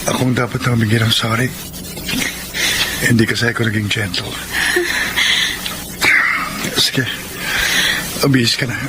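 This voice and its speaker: An actor playing a considerate, guilt-stricken suitor in a Filipino radio drama, speaking gently and earnestly. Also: male voice, mid-range voice, little pitch movement, a little slow, pauses often, very noisy, lightly acted. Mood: gentle, apologetic. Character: considerate, guilt-stricken male client, soft-hearted suitor. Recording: loud music bed behind voice.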